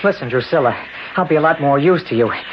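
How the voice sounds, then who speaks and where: An actor playing a bitter, resentful, downtrodden laborer in a 1970s radio drama, sullen and agitated. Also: male voice, a little high, animated, fast talker, close to an everyday voice. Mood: pleading. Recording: muffled, noisy 1970s radio recording.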